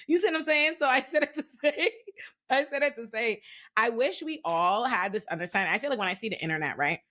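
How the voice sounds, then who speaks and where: A woman in her thirties from the Bahamas, talking casually on a podcast and getting animated as she tells a story. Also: fairly high pitch. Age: 30s. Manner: fast, animated, emphatic storytelling. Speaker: podcast host. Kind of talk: casual chat.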